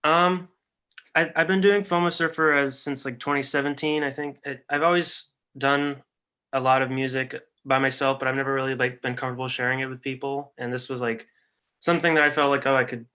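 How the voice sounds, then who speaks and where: Young male guest, bright and sharp tone, mid pitch; hesitant, trails off. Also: fairly even intonation, moderate pace, smooth. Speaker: musician guest. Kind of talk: casual video call interview.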